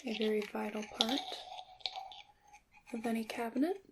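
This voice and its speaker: A woman playing a gentle, knowing witch in an ASMR roleplay, speaking in a breathy half-whisper with deliberate, slightly formal phrasing. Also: fairly high pitch, very noisy, close to an everyday voice. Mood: hushed, instructive. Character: gentle, knowing witch teaching her craft. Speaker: ASMR performer.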